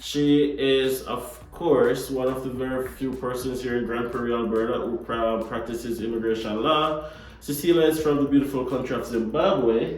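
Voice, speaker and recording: A Jamaican-accented man hosts a relaxed interview, friendly and joking with his guest. His voice sits in the middle range and comes across a very echoey room from some distance off the mic. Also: mid-range voice, moderate pace. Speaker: host. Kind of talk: casual interview chat.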